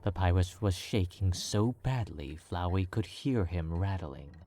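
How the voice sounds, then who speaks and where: A man narrating a story in a calm, measured read. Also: sits low.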